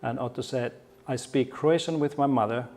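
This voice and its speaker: A man's voice: medium pitch, normal speed, darkish tone. A middle-aged man from Finland with a Finnish accent, giving a conference keynote in a friendly, joking way with frequent restarts. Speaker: keynote speaker. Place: conference hall.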